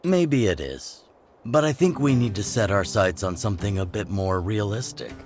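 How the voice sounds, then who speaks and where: A middle-aged man playing a measured, pragmatic, authoritative older leader of an underground resistance in a video game. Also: on the low side, lively intonation, a little mellow, slight background noise, lightly acted. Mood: measured, resolute. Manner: measured, authoritative, plain-spoken. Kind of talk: video game dialogue acting.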